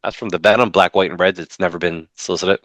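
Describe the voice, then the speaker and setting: Bright-voiced man chatting about comics. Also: low-pitched, dry recording. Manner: animated, talks fast with frequent restarts. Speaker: comic podcast co-host. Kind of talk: casual chat.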